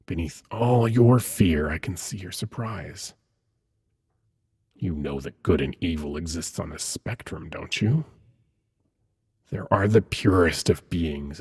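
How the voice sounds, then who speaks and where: A man playing an ancient, seductive demon prince in an intimate ASMR roleplay, hushed and coaxing, with dramatic pauses and a husky, breathy voice. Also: low voice, exaggerated voice. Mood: knowing, persuasive. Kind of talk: ASMR roleplay, intimate second-person monologue.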